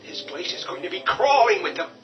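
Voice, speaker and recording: A man's voice: high voice, animated, a little fast. An actor playing a panicky, quarrelsome father who insists on hiding in the cellar. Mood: agitated. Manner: agitated, quarrelsome, rising shouts. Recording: dull distant audio over music.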